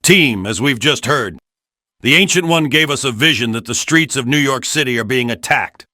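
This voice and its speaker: Man playing a bright, forceful mission commander. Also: medium pitch, lively intonation, normal speed, dry recording, clean studio sound. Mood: commanding. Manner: authoritative, military briefing. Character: serious, commanding male spy chief briefing heroes. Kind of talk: scripted fan-made game voice acting.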